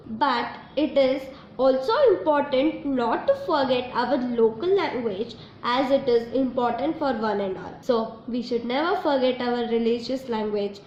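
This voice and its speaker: A woman with an Indian English accent gives an earnest, measured statement as an interviewee. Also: a little high, a little mellow. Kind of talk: prepared statement. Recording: echoey, dull and slightly noisy.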